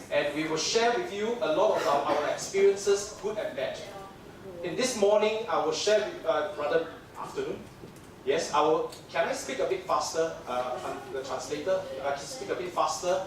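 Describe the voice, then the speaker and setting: A man's voice: fairly high pitch, moderate pace, a crowd in the background. A man from Singapore with a Singaporean English accent giving a conference lecture on dentistry, chatty and joking with lots of fillers, jet lagged. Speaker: dental lecturer. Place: large lecture hall. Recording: echoey hall mic from far off, audience noise.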